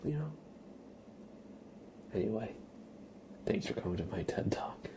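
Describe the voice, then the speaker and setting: A man streaming ASMR, chatting casually to viewers in a soft, breathy whisper with a slightly stuffy nose. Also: fairly low pitch, expressive, measured pace. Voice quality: breathy whisper with a creaky edge. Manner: soft-spoken, rambling, casual.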